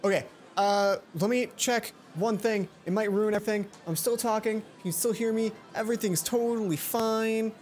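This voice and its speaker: A woman's voice: mid-range voice, fairly even intonation, normal speed, few pauses, a little mellow, slight background noise. A woman hosting a let's play, reading a visual novel aloud and dropping in chatty, playful comments. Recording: music behind the voice.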